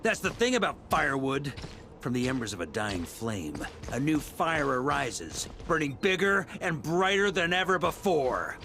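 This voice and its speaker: Bold, animated warrior. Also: male voice, fairly high pitch, moderate pace, a little hiss or hum, heavily acted. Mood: proud, amused. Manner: dramatic, wry, confident. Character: bold, defiant veteran warrior man, self-assured. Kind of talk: video game voice acting.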